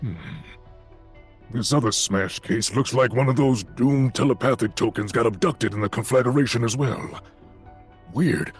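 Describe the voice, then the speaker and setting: Pompous, husky fantasy adventurer. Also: male voice, medium pitch, measured pace, soft background music. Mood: musing. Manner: pompous, polysyllabic vocabulary. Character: verbose, fussy, dapper adventurer and spellcaster. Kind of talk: fantasy audio drama acting.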